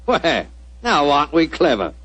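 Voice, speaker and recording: Ruthless villain, sarcastic, animated higher-set rasp, gloating, old radio broadcast. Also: male voice, a little slow, full character voice. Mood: menacing, mocking. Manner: mocking, sarcastic, bullying. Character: gloating, sneering male villain, ruthless nihilist plotter. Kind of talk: 1940s radio acting.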